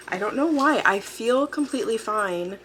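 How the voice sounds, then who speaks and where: A young adult woman with a hoarse, fading voice, chatting casually about what she reads, rambling with fillers and self-corrections. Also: fairly high pitch, animated, normal speed, fairly bright tone, slight background noise, low-quality recording. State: hoarse, losing her voice. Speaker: book vlogger. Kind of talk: casual chat. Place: at home with a dog nearby. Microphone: camera mic.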